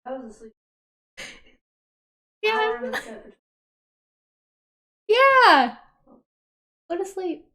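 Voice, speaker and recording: A woman hosting a livestream, chatting casually in an expressive, exclamatory way. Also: very high-pitched, drawn-out words, long pauses. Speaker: livestream host.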